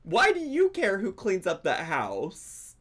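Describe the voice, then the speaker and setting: A man's voice: sits high, wildly swooping pitch, takes it slowly, fairly bright tone. A man hosting a gossip commentary show, chatty and sassy, laughing between his remarks. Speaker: commentary channel host. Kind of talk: gossip commentary reacting to clips.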